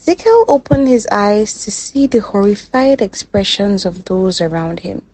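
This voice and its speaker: A woman storyteller reading a scripted folktale in a dramatic, measured way. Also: medium pitch, very animated, dark tone, low-quality recording.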